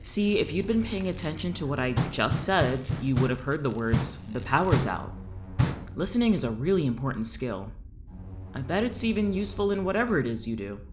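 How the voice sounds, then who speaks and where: An actress playing a defiant, sarcastic captive in an audio drama, snide and mock-patient. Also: female voice, sits low, big pitch swings, brisk, over music.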